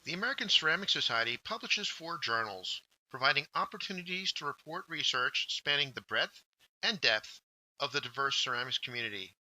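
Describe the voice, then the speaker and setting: A man presenting a scripted instructional workshop, with deliberate, emphatic phrasing. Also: mid-range voice, expressive, pushed and bright, dry recording, rough recording. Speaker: workshop presenter, journal editor.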